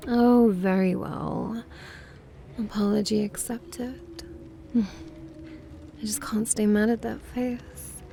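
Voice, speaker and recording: A woman's voice: medium pitch, steady tone, takes it slowly, gravelly, dark tone, a little hiss or hum. A woman voicing a naive, prim and haughty vampire mistress in an ASMR roleplay, with dainty, formal, half-whispered speech. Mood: haughty, indulgent.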